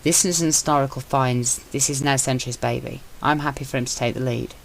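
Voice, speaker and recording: A woman voicing a tense, quiet young man. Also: very deep voice, steady tone, fairly quick, vocal fry, a little hiss or hum, poor audio. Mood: forced calm. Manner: quiet, strained.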